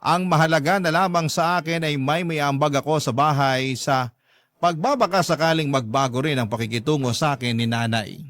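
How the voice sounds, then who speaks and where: A man playing a long-suffering young man who narrates his own story in a measured, confiding way for a radio drama. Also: a little high, a bit bright, no room sound, studio quality, close to an everyday voice. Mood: earnest, wistful. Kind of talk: scripted first-person narration for radio drama.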